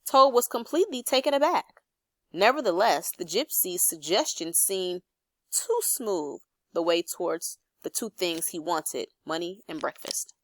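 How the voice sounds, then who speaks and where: A woman reading a children's story aloud as expressive scripted narration. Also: fairly low pitch, unhurried, no echo. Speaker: storybook reader.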